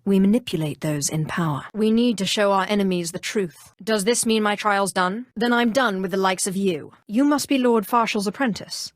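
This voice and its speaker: An actress playing a cold, haughty, cunning female Sith sorceress in a video game, speaking in a composed, imperious and deliberate way. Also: mid-range voice, no echo, clean studio sound, natural voice. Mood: firm, imperious. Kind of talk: scripted role-playing game dialogue.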